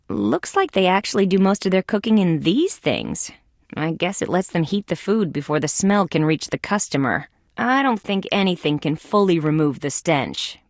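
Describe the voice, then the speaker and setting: A woman voicing a young, wisecracking female insect police detective in a video game, with sardonic, deadpan quips. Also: fairly low pitch, no room sound, clean studio sound.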